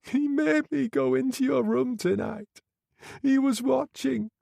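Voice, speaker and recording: Guy doing a high teary young working girl, begging, dark gravelly voice, dry sound. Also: unhurried, no room sound, full character voice. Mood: distraught. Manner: pleading, sobbing. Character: tearful young female prostitute, frightened.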